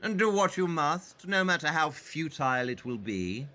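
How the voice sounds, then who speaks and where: An actor playing a brilliant, haughty detective in an audio play, speaking in a pompous, deliberate and condescending manner. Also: male voice, a little high, big pitch swings, sharp tone. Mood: dismissive. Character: brilliant, haughty, dismissive male master detective. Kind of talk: theatrical audio play acting.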